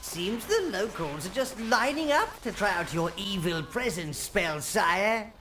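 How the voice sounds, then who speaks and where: An actor playing an elderly, servile minion adviser in a video game, dryly sinister and theatrical. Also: male voice, high-pitched, sing-song, measured pace, bright, forceful tone, slight background noise, heavily acted. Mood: gleeful, scheming. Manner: obsequious, theatrical, dry understatement. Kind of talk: scripted video game character acting.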